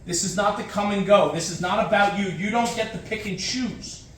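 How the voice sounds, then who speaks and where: A man's voice: high-pitched, normal speed, fairly bright tone. A man giving a motivational conference talk as a guest speaker, emphatic, in short punchy phrases with rhetorical questions and repetition. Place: echoey indoor room, speaking to an audience. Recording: echoey room, distant mic, faint noise.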